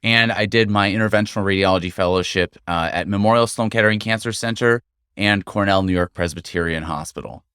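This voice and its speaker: A middle-aged American man, a guest doctor, talking through his career in a measured, articulate way. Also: on the low side, a bit bright, smooth, dry recording, studio quality. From United States. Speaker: guest interventional radiologist. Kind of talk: conversational interview. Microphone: studio microphone.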